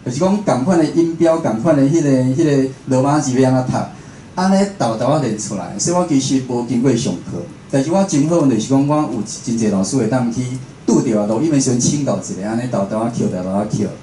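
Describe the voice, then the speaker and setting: A man's voice: mid-range voice, lively intonation, quick pace, mellow. Taiwanese man lecturing in an echoey room. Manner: conversational, asks the listeners rhetorical questions. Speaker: radio host and station director giving a talk. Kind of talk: informal spoken lecture. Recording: echoey room with faint background noise.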